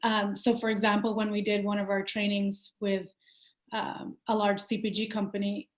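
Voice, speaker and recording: A woman's voice: medium pitch, little pitch movement, moderate pace. Young woman from Pakistan, founder in an interview, echoey room, thoughtful, lots of fillers. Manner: thoughtful, with many fillers and restarts. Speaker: company founder and CEO. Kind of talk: conversational interview answers. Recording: roomy echo.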